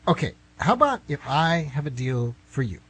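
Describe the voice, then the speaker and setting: A man's voice: a little high, sing-song, normal speed, a bit bright, slight background noise. A man playing a flustered, exasperated writer who stammers and protests in a comic radio play. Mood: bargaining. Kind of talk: radio play comedy acting.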